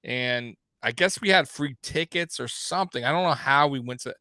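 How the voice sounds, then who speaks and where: A man's voice: medium pitch, normal speed, sharp tone, no room sound. A man in his forties from Miami hosting a casual sports talk show, rambling with many restarts. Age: 40s. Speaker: podcast host.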